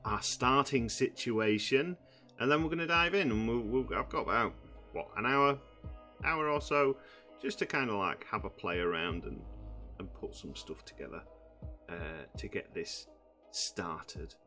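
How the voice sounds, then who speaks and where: A man, croaky from just waking up, rambling through casual gameplay commentary with many fillers. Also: medium pitch, measured pace, sharp tone, faint music behind. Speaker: gaming channel host.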